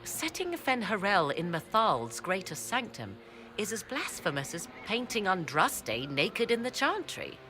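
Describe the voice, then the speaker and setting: A woman playing a haughty, learned mystic in a fantasy video game, speaking in formal, measured, archaic phrasing. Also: mid-range voice, sing-song, pushed and bright. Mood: lecturing, solemn. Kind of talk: dramatic video game dialogue. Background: video game music.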